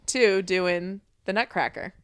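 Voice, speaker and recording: A woman co-hosting a podcast, steering the chat with measured questions. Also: medium pitch, fairly even intonation, a bit bright, clean tone. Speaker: podcast co-host. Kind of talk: interview questions.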